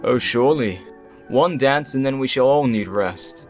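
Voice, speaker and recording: Faint music lies under a man playing a rational, protective English doctor. His mid-pitched voice is formal, measured and a little weary, in radio drama style. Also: expressive, close to an everyday voice. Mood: courteous, tired. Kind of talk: radio play acting.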